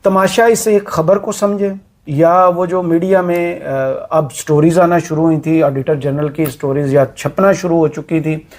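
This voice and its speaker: A man hosts a political talk show, and his voice sits high for a man. He talks in a conversational way, with a sarcastic edge as he puts his questions. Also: steady tone, a little slow, a little mellow. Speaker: host.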